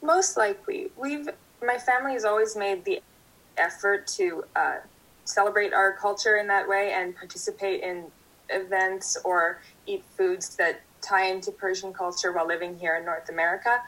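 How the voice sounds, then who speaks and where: A young woman from Canada, a guest answering interview questions in a steady, thoughtful way. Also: mid-range voice, moderate pace, rough recording. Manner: thoughtful, steady, speaks in full sentences.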